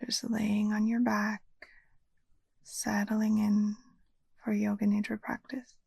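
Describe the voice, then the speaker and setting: A woman guiding a meditation as a yoga nidra teacher, speaking in a soft, soothing, breathy near-whisper. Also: mid-range voice, completely flat delivery, slow pace, no room sound. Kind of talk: guided meditation narration.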